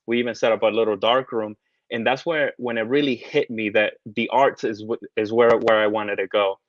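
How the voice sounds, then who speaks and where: A man's voice: fairly low pitch, steady tone, normal speed, no echo. A man in his thirties who grew up between the United States and the Caribbean, talking as a guest in a casual interview, hesitant, with many restarts and fillers. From United States, raised partly in the Caribbean. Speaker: guest, tarot artist. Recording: dry, dull lo-fi sound.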